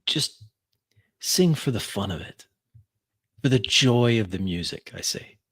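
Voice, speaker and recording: Male roleplay player, low register, unhurried pace, deliberate dramatic first-person narration. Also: expressive, fairly bright tone, breathy edge. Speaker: player in a tabletop roleplaying game.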